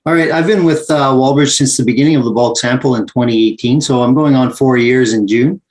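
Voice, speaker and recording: A man in his forties answering interview questions in a steady, methodical way with frequent fillers. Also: mid-range voice, brisk. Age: 40s. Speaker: guest, VP of mining and projects.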